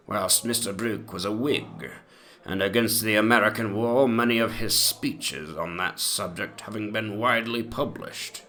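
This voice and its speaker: A man playing a pompous, very old eighteenth-century gentleman in a dramatic reading of his mock memoir, with mannered, antiquated diction. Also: on the low side, full character voice. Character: pompous, ancient 18th-century English gentleman memoirist, fond and gossipy.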